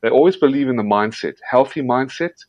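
A man's voice: medium pitch, fairly quick, dry recording. A man from South Africa, a guest investigative journalist, answering interview questions earnestly and emphatically, often stumbling and repeating words. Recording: dull, dry lo-fi sound.

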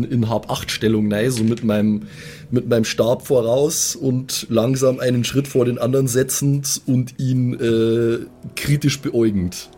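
A man's voice: medium pitch, dark tone, slight background noise. A man playing along in an improvised tabletop role-play, speaking in a wary, insistent manner. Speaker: player in a tabletop audio drama.

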